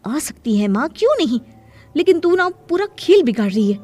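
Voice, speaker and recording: A woman voicing a sharp, impatient young daughter-in-law in a cartoon moral story, with a complaining, pointed manner. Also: sits high, sing-song, normal speed, faint music behind. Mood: irritated.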